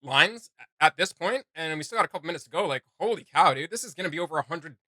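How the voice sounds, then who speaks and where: A man chats casually as a reaction host, full of jokey slang and exclamations. His voice is higher than most men's and very bright, recorded dry with faint music behind. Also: expressive, fast talker, no room sound.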